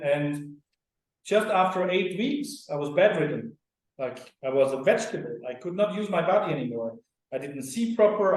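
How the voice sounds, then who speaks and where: A middle-aged man from Austria, a guest on a radio interview, talking earnestly and at length, often checking in with "right?". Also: a little high. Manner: earnest, explanatory, often tags sentences with "right?". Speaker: clinic director and co-founder. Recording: very echoey, distant sound.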